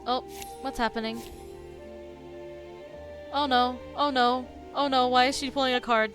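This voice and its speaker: A woman gives casual live reactions to a game as a streamer. Her voice is fairly high and conversational, with faint game music behind it. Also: fairly even intonation, unhurried, a bit bright.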